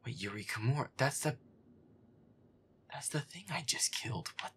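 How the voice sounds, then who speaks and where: A man's voice: mid-range voice, lively intonation, moderate pace, a bit bright. Man acting as feisty gamer boyfriend, exasperated, sarcastic, sweary muttering, half-whispered, swinging intonation. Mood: annoyed muttering. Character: feisty werewolf boyfriend grumbling through a scary game. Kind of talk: gameplay roleplay, muttered reactions.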